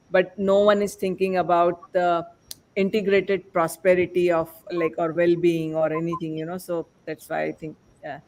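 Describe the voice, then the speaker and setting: Guy with a pretty high voice hosting a podcast panel, kinda halting and rambly. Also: a little mellow, low-quality recording. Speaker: podcast host.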